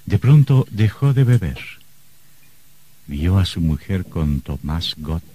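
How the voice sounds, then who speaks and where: Very deep, slow male narrator. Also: sing-song, warm tone, slightly rough. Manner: solemn, measured, suspenseful. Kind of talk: dramatic scripted radio narration.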